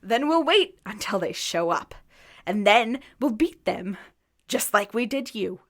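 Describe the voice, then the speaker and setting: A woman's voice: mid-range voice, lively intonation, a little slow. A woman playing an emotional, defiant young musician in a radio drama, earnest and emphatic.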